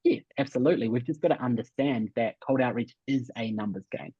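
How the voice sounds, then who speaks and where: Husky Kiwi man coaching, roomy sound. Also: medium pitch, fairly quick, mellow. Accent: New Zealand. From New Zealand. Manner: emphatic, repeats key points, checks in with "right?". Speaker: host and business coach. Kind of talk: webinar coaching, conversational explanation. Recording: muffled, roomy webinar audio.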